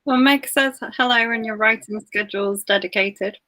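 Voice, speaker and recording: A woman's voice: a little high, moderate pace, sharp tone. A woman hosting a casual online author interview on a video call, reading out viewers' comments in a chatty way. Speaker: book club interviewer.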